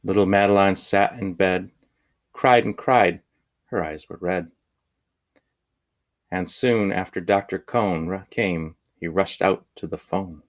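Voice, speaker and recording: Deep voiced guy reading a kids picture book out loud, really slow n deliberate. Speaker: storybook reader. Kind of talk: children's picture book read-aloud.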